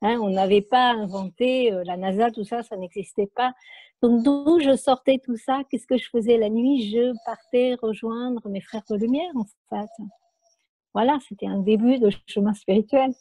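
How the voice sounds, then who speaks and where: A woman in her late sixties from Paris with a Parisian French accent, a guest speaking softly and hesitantly about her spiritual path in a remote interview. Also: fairly high pitch, moderate pace, no echo. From France, Paris. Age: 60s. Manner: soft, hesitant, many fillers and repeats. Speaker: guest author.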